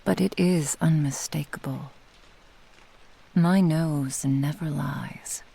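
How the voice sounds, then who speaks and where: A woman voicing a possessive, seductive fox-spirit witch in a whispered ASMR roleplay, teasing and menacing in a breathy, husky murmur. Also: low voice, steady tone, warm tone, full character voice, poor audio. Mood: intrigued, condescending. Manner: slow, teasing, purring menace.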